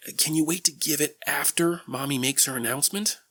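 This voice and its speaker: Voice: medium pitch, a little fast, bright, forceful tone, dry recording, clean studio sound. A performer voicing a royal pony father, earnest and formal, in a cartoon drama. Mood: reassuring. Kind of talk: animated fan drama voice acting.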